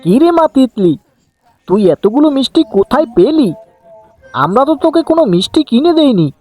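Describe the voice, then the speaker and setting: A woman's voice: sits high, sing-song, brisk, darkish tone, husky, soft background music, full character voice. A woman voicing an eager, affectionate poor village father in a cartoon fairy tale. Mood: puzzled.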